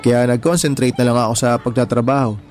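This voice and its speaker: A man's voice: mid-range voice, fairly even intonation, brisk, darkish tone, soft background music, close to an everyday voice. A man playing an earnest, humble, hardworking young husband in a radio drama, talking in a mild conversational way. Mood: earnest, determined. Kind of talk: Tagalog radio drama dialogue.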